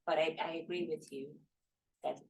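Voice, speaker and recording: A woman running an interactive training session, her voice sitting a little low. She talks in loose, searching sentences and doubles back on words as she builds toward a point. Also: steady tone, normal speed, rough recording. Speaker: workshop facilitator.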